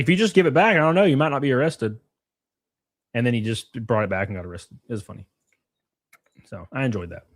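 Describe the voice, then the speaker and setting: A man's voice: mid-range voice, no room sound, clean studio sound. A man hosting a stream, giving blunt, rambling and profane reaction commentary. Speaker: streamer host.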